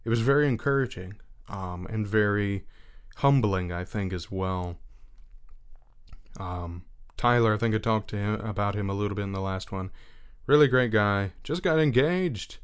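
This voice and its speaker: A young man talking earnestly in a reflective personal monologue, unhurried and thinking aloud as he goes. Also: fairly low pitch, smooth. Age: young adult.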